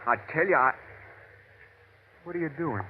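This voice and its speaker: A man's voice: a little high, moderate pace, lightly acted. Man acting a terrified young captive, tinny radio sound. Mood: frightened. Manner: stammering, pleading. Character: terrified young male captive, protesting helplessly. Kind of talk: old-time radio drama acting. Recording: tinny, hissy old radio recording.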